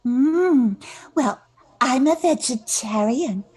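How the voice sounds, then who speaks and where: A ditzy, preening beauty queen in a radio comedy acted by an older woman. She speaks very slowly in a high voice that swoops up and down, pleased with herself. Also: expressive, a little mellow, husky, exaggerated voice. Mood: dithering. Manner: drawn-out, preening. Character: ditzy, self-satisfied young beauty queen. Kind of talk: comic old-time radio play acting.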